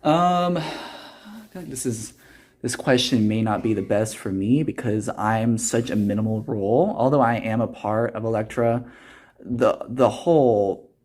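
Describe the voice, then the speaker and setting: A man's voice: medium pitch, unhurried, a little mellow. A teenage young man, a student actor, answering interview questions earnestly and reflectively in a conversational way. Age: teens, high school senior.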